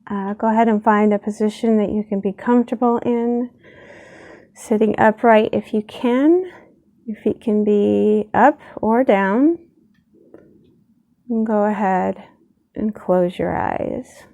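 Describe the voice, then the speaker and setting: Slow, very mellow woman guiding meditation. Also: mid-range voice, muffled recording. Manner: soothing, unhurried, with long pauses between short phrases. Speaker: guided meditation leader. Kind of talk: gentle guided meditation.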